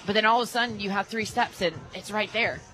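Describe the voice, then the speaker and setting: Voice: medium pitch, steady tone, fast talker, bright, forceful tone, soft background music, rough recording. A radio color commentator in a stadium booth, chatting and musing about the game and laughing along with the play-by-play caller. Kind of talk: casual booth banter on live sports radio.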